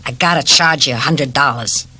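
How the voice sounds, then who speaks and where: A woman's voice: extremely low pitch, moderate pace, bright, forceful tone, husky, voice pushed down, heavily acted. A woman in her sixties voicing a nervous, apologetic working-class repairman in an audiobook, with colloquial, uneasy speech. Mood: miserable. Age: 60s. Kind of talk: audiobook character voice.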